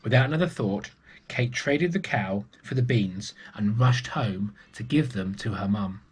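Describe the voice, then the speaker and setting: A man's voice: on the low side, measured pace, husky, poor audio. A man reading a picture book to young children expressively, with chatty asides to the listeners. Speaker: storybook reader for early years children.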